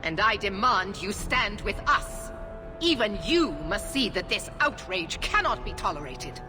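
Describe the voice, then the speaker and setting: Stern female villain, fantasy game commander: imperious, highly animated delivery over loud music. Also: a little slow. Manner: imperious, declamatory. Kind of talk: fantasy video game voice acting.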